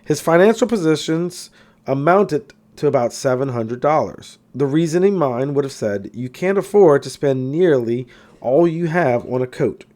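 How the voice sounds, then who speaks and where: A man reading a self-help book aloud in an expressive way, occasionally stumbling and going back over a phrase. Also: on the high side, moderate pace. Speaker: audiobook reader.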